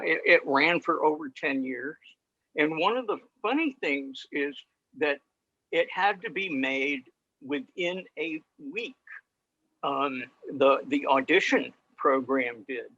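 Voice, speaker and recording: An older man giving an informal introductory talk on a video call, telling stories in a halting way. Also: a little high, bright, forceful tone. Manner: halting, storytelling. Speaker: presenter of old-time radio sessions. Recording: dull video call audio.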